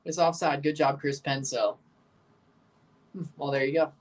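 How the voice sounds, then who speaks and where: A young man with a low voice hosting a livestream, giving blunt, opinionated hot takes while reacting to a soccer match and answering chat questions. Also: normal speed, recorded from a distance, poor audio. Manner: excitable, jumps high in pitch when agitated.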